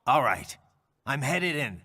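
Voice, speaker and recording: An actor playing a cool, gruff cyborg mercenary in a video game cutscene, reserved and terse, with a gravelly voice. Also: male voice, medium pitch, very animated, slow pace, sharp tone, in a large hall, full character voice. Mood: focused, terse.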